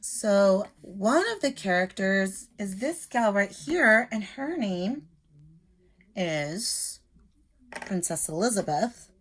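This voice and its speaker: A woman with a middle-pitched voice speaks as an elementary teacher. She reads aloud and explains things simply, step by step, as in a lesson for young children. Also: lively intonation, slow pace.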